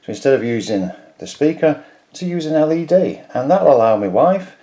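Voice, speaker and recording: A man with a fairly low voice and a soft, dark, relaxed tone. He narrates a step-by-step DIY tutorial casually, in an informal and plain-spoken way. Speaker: DIY electronics video host.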